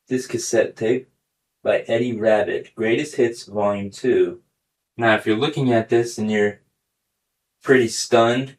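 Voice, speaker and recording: A man hosting a casual hands-on tech demonstration video, talking through each step as he goes. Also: lowish voice. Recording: clearly noisy recording with clicks.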